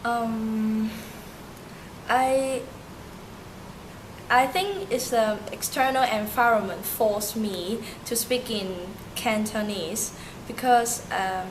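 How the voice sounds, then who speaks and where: A young woman from Turkey, a native Turkish speaker, answering interview questions in halting English with many fillers and restarts. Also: a little high, steady tone. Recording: noisy amateur recording.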